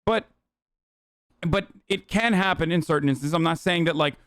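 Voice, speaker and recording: Man, political commentary streamer, blunt and profane, rambling fillers, raised pitch, smooth and dry close mic. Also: normal speed, fairly bright tone, dry recording. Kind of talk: livestream commentary reacting to clips.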